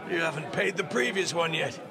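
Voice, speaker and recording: A man voicing a brash, matey barkeep who needles customers about debts in an animated comic. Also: a little high, fairly quick, creaky. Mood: mocking. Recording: loud bar crowd behind.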